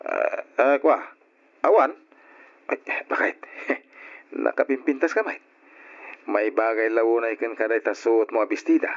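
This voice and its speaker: A man playing an irresponsible, hard-drinking husband and father in an Ilocano radio drama, full of sheepish drawn-out excuses and interjections. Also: medium pitch, close to an everyday voice. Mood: wheedling. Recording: muffled lo-fi old radio recording.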